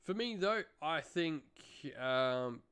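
A man's voice: fairly high pitch, animated, slow pace, fairly bright tone, clean tone. A young adult man giving a casual, talking-to-camera review as a channel host, recorded indoors in a home room.

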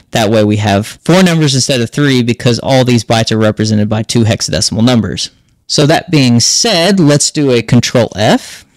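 A man's voice: medium pitch, no room sound. A man hosting a tech tutorial, explaining step by step in a casual screen-narration style. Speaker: host of a tech tutorial channel.

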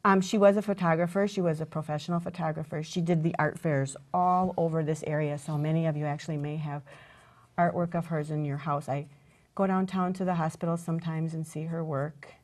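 A woman's voice: low voice, fairly even intonation, fairly quick, darkish tone. A woman, chatting casually as a craft guest on a TV studio set, rambling with restarts and self-deprecating asides. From rural Wisconsin, USA. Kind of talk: casual chat while demonstrating a craft.

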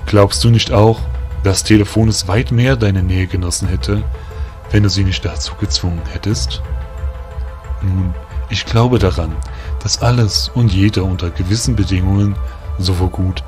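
A serene male faun spirit speaks in a lowish, measured voice, gently lecturing, as a man puts him on. He sounds a little distant from the mic. Clear music runs behind him. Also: fairly even intonation, lightly acted.

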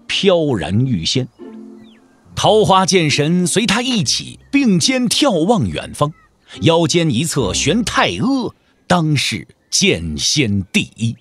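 A man's voice: fairly high pitch, fairly bright tone, breathy edge. A man narrating a martial-arts audio drama in a dramatic storytelling style.

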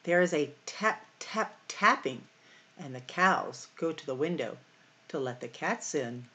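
A middle-aged woman hosting a library storytime, reading picture books aloud in a deliberate, expressive way for young children. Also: low voice. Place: small room at home or office, indoors.

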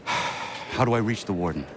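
Stern, low-voiced young prisoner. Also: male voice, takes it slowly, a bit bright. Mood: skeptical. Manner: terse, serious. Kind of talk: dramatic video game dialogue.

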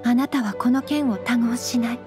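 A woman portrays a young noble swordswoman from an anime game. Her voice is high, her manner composed, formal and courteous, gentle yet firm, with music behind her. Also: moderate pace, close to an everyday voice. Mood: calm, resolute. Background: background music.

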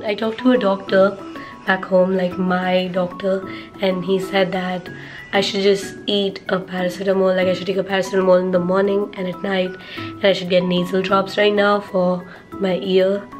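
A young woman with a hoarse, worn voice, talking casually to camera in her dorm room and keeping her speech soft to spare her throat. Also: medium pitch. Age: young adult. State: hoarse, lost voice from sore throat. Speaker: vlogger, college student. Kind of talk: casual vlog talk to camera. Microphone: handheld camera close up.

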